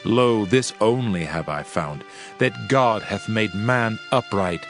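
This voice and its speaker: A man playing a solemn, world-weary preacher in a dramatized scripture reading, with measured, archaic oratory. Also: lowish voice. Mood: solemn, reflective. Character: male preacher, solemn world-weary sage pondering wisdom and vanity. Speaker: narrator of a dramatized audio Bible. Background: music.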